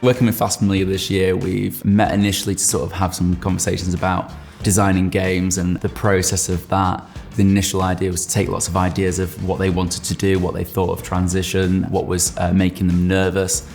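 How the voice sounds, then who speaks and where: Male interviewee, deep gravelly voice, measured and reflective, for an educational promo. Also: monotone, a little mellow, faint music behind.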